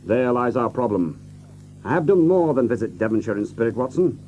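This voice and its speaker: An actor playing a commanding, sharp-witted gentleman detective in an audio drama, authoritative, incisive and theatrical. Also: medium pitch, animated, fairly quick, lo-fi sound, a little hiss or hum, lightly acted. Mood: musing.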